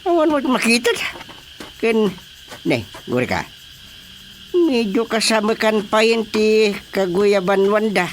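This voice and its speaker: An actor playing an excitable, grumbling Filipino father with an Ilocano accent in a comedic radio drama, exclaiming and groaning. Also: sits high, unhurried, long pauses, a little hiss or hum. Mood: eager.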